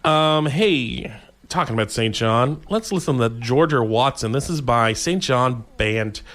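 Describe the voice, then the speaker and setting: A Canadian man chatting casually as a radio co-host, in a halting, informal way. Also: mid-range voice, animated, a bit bright. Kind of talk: casual chat.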